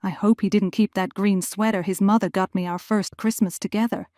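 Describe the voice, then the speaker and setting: A woman acting in an audio drama as a gentle, anxious mother who is grieving. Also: medium pitch, steady tone, a little fast, no echo, studio quality, close to an everyday voice. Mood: warm, wry. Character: gentle grieving mother, returned after twenty years, anxious.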